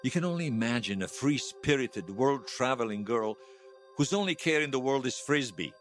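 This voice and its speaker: A man's voice: medium pitch, lively intonation, moderate pace, faint music behind, lightly acted. An actor playing a regretful, bitter man who is hailed as a hero, confessing his shame in an audio drama. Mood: bitter, ashamed. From Bosnia. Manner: confessional, self-reproaching. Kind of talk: fiction podcast drama acting.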